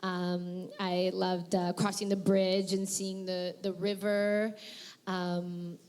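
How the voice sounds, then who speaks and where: A woman's voice: on the low side, little pitch movement, slow, darkish tone. A woman voice actress answering questions at a convention panel, chatty and rambling with frequent fillers and laughs.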